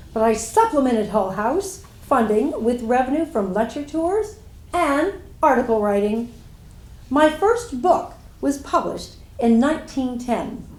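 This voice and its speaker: In an echoey room, a fairly high female voice of an actress gives a stage monologue as an earnest, proud social reformer. The phrasing is measured and declamatory, like a formal dramatic reading. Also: a little mellow. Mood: proud, solemn. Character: Jane Addams, earnest, proud woman social reformer recounting her life. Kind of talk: scripted first-person dramatic monologue, read on stage.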